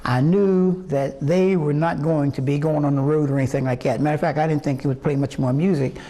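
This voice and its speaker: A man in his eighties from West Virginia, a singer telling his life story in an interview in a halting, rambling way full of fillers and restarts. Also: on the high side, fairly even intonation, dark tone. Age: 80s. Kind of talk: reminiscing interview answers.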